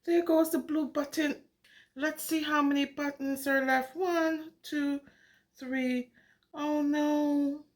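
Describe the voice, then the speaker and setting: A woman's voice: sits high, fairly even intonation, slow pace. A woman reading a picture book aloud to young children in an exclamatory, singsong way, often putting questions to the listeners. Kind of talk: animated interactive read-aloud for young children.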